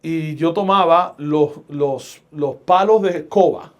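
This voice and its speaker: A middle-aged man with a Puerto Rican accent, speaking as a guest pastor and radio broadcaster. He talks in a measured, emphatic preaching cadence and leans on each phrase. His voice sits a bit higher than most men's. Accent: Puerto Rican Spanish. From Puerto Rico. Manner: deliberate, emphatic preacher's delivery with scripture quotes. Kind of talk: podcast interview, storytelling.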